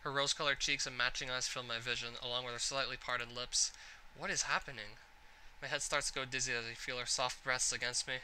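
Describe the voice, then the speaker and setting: A man's voice: mid-range voice, little pitch movement, fairly quick, pushed and bright, slight background noise, rough recording. A man narrating a visual novel aloud during a game playthrough. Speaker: gaming content creator.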